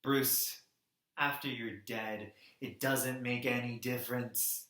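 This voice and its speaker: A man performs an impassioned male activist in a dramatic monologue. His mid-pitched voice carries grief and defiance in short, emphatic phrases. The room around him is noticeably echoey. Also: fairly even intonation, sharp tone. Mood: quiet, mournful. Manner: emphatic, halting delivery in short weighted phrases.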